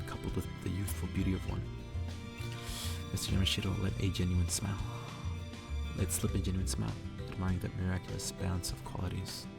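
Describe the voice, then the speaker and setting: A man softly reading a story aloud in ASMR style, sometimes dropping toward a whisper and stumbling over the text. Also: low-pitched, little pitch movement, a little fast, gravelly, far from the mic, loud music behind the voice. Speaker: ASMR reader.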